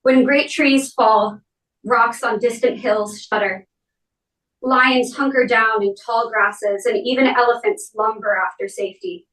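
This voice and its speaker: Slow, high-voiced woman in an echoey room. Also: fairly even intonation, fairly bright tone. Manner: measured, deliberate phrasing with pauses. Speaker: speaker giving a eulogy for their parent. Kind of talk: memorial speech and poem reading. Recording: roomy echo, distant mic.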